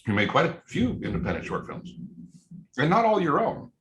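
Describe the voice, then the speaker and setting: A man's voice: a little high, huge pitch swings, normal speed, fairly bright tone, a little hiss or hum. A man hosting an interview, asking questions with brief backchannels and playful teasing. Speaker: interview host. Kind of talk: casual interview. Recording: echoey room.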